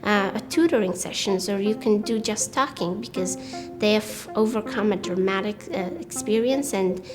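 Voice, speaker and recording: A young woman with an Afghan accent speaks earnestly in an unscripted interview. Her voice is medium in pitch, and music plays clearly behind her. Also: normal speed. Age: young adult. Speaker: university student interviewee. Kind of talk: spontaneous interview talk.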